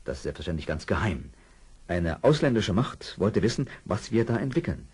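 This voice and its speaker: An actor playing a calm, authoritative undercover policeman in a children's radio play. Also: sits low, normal speed, breathy edge, full character voice. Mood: reassuring, firm.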